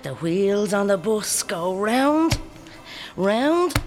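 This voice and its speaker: A woman's voice: mid-range voice, big pitch swings, very slow, darkish tone, soft background music. An actress playing a vengeful woman who gloats and mutters to herself in an audio drama. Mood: gloating, vengeful.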